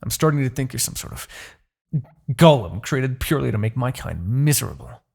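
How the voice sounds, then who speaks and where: A man's voice: medium pitch, lively intonation, fairly quick, gravelly, darkish tone, breathy edge. A man playing a smug, possessive demon king in an ASMR roleplay, speaking with haughty, theatrical formality. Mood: frustrated, exasperated. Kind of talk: ASMR roleplay, dramatic character acting.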